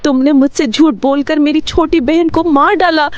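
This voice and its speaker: A woman's voice: high-pitched, steady tone, normal speed, no room sound, lo-fi sound, close to an everyday voice. A woman playing a frightened, suspicious and determined young wife in a dramatised horror story. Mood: shocked, accusing. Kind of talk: dramatised Hindi moral horror story narration.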